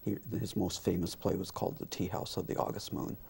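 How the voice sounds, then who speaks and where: A man talking casually as an interview guest, in a halting, conversational way. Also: low voice, little pitch movement, creaky, a little mellow, husky. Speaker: interview guest, community theater director.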